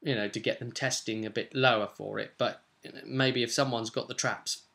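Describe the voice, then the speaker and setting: A young British man chatting casually and emphatically to camera as a game master, rambling in an animated way. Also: mid-range voice, fairly quick, creaky. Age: young adult. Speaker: tabletop role-playing game master and vlogger. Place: small furnished room at home, indoors. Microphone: camera or webcam at desk distance.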